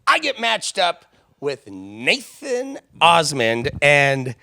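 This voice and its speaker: A man with a raspy, nasal voice, co-hosting a charity gala with self-deprecating jokes. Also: a little high, wildly swooping pitch, slow pace, sharp tone. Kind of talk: banter-filled show hosting. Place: studio.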